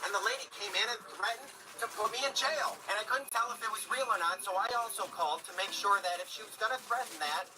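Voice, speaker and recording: A hoarse man arguing angrily and insistently, talking over the other person. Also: high-pitched, quick pace, hardly any pauses, far from the mic, background noise. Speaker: protester arguing with police. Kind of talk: heated argument.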